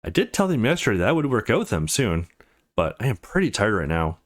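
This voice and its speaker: A man's voice: fairly low pitch, very animated, fast talker. A man voicing the self-assured male protagonist of a visual novel, reading his thoughts and lines casually and expressively. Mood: relieved, musing. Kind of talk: reading game narration and dialogue.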